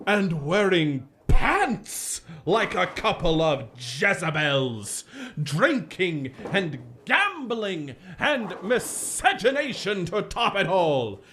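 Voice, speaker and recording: An actor playing a scolding, sanctimonious old preacher who declaims pompously in a radio play. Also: male voice, sits high, fairly bright tone, a little hiss or hum, heavily acted.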